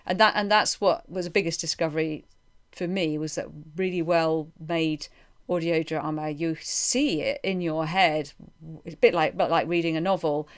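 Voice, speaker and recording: A woman's voice: sits low, fairly even intonation, moderate pace, clean tone. A woman hosting a casual interview, thinking aloud in a reflective way. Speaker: podcast host.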